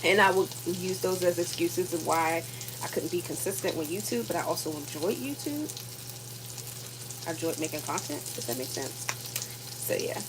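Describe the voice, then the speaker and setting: A woman's voice: lowish voice, animated, moderate pace. Tired woman chatting over sizzling pan. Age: 30s. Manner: chatty, rambling with many fillers. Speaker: vlogger. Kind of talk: casual chat while cooking. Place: home kitchen. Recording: sizzling cooking noise behind. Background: food sizzling in a pan.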